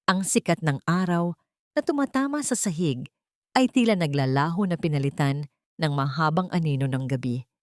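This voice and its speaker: A woman's voice: sits low, expressive, moderate pace, darkish tone, no room sound, clean studio sound. A woman narrating a scripted dramatic story in a solemn, emotive manner.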